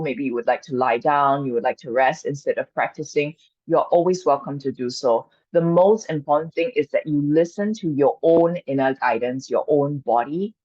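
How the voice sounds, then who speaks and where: A woman from Singapore, a Chinese speaker, gently guiding an online mindfulness and qigong workshop as its coach. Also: sits low, no echo. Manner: gentle, inviting, reassuring. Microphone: video call. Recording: dull dry video call audio.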